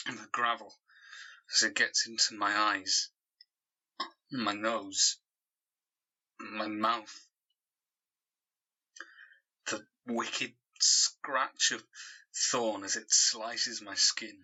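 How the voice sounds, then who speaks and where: A traumatised man in an audio drama, reliving something terrible. His voice is raspy and very tense, with a hard edge. He speaks slowly and haltingly, weighing each phrase as he goes. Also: on the low side, sharp tone, full character voice, poor audio. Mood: haunted, brooding. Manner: slow, halting, haunted delivery with repeated words. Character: traumatised man reliving a savage dog attack.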